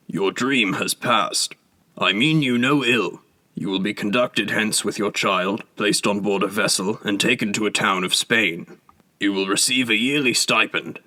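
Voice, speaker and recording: Cold, threatening noble lord in a storybook , read by a man: low and sharp. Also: no room sound. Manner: stern, formal, threatening. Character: cold, menacing male nobleman, adult.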